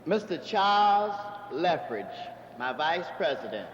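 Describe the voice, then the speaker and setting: A man's voice: a little high, very animated, slow pace, very noisy. A man formally introducing club members as one of the officers. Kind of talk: formal announcing. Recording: echoey lo-fi hall, crowd murmur.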